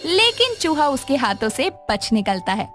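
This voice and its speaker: A woman's voice: high-pitched, very animated, moderate pace, fairly bright tone, faint music behind. A woman narrator telling a scripted moral story in storytelling style.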